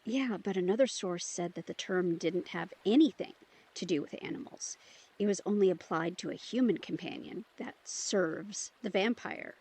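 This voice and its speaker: A woman playing a soft-hearted, pleading young vampire in an audio drama, speaking haltingly and emotionally. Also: medium pitch, breathy edge, rough recording. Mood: subdued, explaining. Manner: halting, emotional, breaks sentences off. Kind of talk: immersive audio drama acting.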